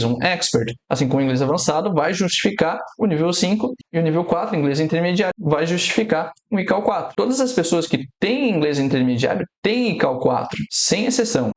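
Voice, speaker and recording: A Brazilian man, an aviation English teacher, explaining exam mistakes in a steady instructional way. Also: fairly high pitch. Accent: Brazilian Portuguese, no fixed regional accent. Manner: explains steadily, quotes radio phrases slowly and deliberately.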